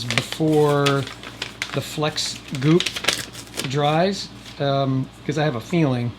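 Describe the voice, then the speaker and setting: Folksy man over loud background hum. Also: mid-range voice. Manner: folksy, jokey, rambling asides. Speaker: host of DIY video series. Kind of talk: casual how-to vlog talk. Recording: loud air conditioner noise behind voice. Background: air conditioner hum.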